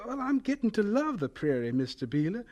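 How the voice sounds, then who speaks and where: An actor playing a gentle, earnest young homesteader with dreams, speaking reflectively in a folksy radio drama manner. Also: male voice, high-pitched, sing-song, fairly quick. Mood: cheerful, modest.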